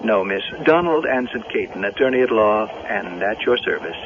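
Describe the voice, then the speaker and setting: An actor playing a polite, self-assured attorney in a 1970s radio drama, courteous and solicitous. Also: male voice, fairly low pitch, expressive, moderate pace, lightly acted. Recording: muffled, noisy vintage radio broadcast.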